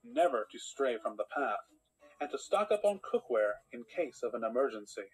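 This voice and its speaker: A man playing a measured storyteller, reading scripted storybook narration. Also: medium pitch, big pitch swings, slightly rough, faint music behind, poor audio.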